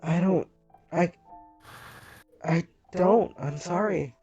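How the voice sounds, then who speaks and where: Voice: medium pitch, slow pace, mellow, husky, highs cut off, soft background music. A player roleplaying a confused character, stammering and hesitant. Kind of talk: roleplaying a tabletop game.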